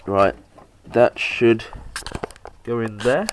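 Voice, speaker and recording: A young man with a slight cold, narrating a PC hardware install in a halting, casual way with lots of fillers. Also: medium pitch, slight background noise, poor audio. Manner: halting, many fillers, talks while working. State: has a cold, slightly bunged up. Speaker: PC hobbyist showing a hardware install.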